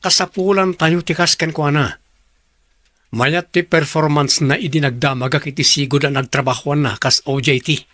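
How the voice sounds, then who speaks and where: A man's voice: on the high side, steady tone, normal speed, pushed and bright, no room sound, studio quality. A man with a Filipino accent playing a businesslike, authoritative company department head in a radio drama. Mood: earnest, insistent. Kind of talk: Ilocano radio drama acting.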